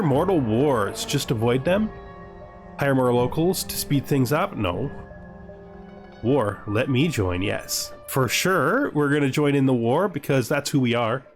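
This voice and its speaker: A man giving casual gameplay commentary, reading game text aloud and reacting with dry, offhand humour. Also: mid-range voice. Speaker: gaming video host.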